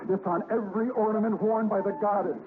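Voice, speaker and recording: A man's voice: sits high, fairly even intonation, fast, crowd noise, close to an everyday voice. A man playing an anxious, insistent man who fears the supernatural, urgent and emphatic in 1970s radio drama style. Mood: alarmed, insistent. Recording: noisy, muffled old radio recording.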